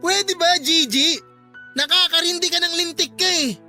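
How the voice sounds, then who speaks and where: An actor with a Filipino accent playing a belligerent, abusive husband and father who sneers and shouts in a radio drama. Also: extremely high pitch, moderate pace, soft background music, full character voice. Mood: belligerent, sneering.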